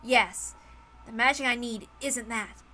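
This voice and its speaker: A woman's voice: on the high side, measured pace, sharp tone, faint music behind. A woman voicing an earnest, determined young man in a storybook reading. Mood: earnest resolve.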